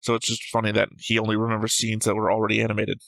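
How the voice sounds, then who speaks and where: A man's voice: on the low side, monotone, rapid-fire, creaky, a bit bright, no room sound. A man co-hosting a casual discussion show, going through notes in a dry, analytical way. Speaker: reaction show co-host.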